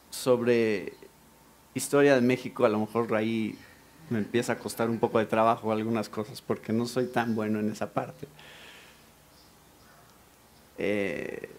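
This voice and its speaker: A man from Mexico with a Mexican Spanish accent, preaching a lively sermon and asking the congregation questions. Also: medium pitch. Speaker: pastor.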